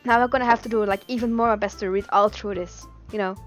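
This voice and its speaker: A woman from Belgium with a Dutch accent and Dutch as her native language, live streaming in a giggly, expressive way and sometimes stumbling over words. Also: mid-range voice, fast talker, faint music behind. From Belgium, Dutch-speaking. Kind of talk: live stream chat and reading game text aloud.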